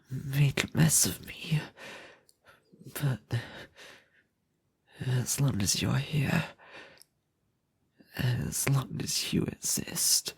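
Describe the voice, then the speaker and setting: Man acting a husky, slow, obsessive yandere kidnapper. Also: medium pitch, exaggerated voice. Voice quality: husky, breathy, often whispering. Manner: slow, halting, intimate, doting yet threatening. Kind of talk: ASMR roleplay voice acting.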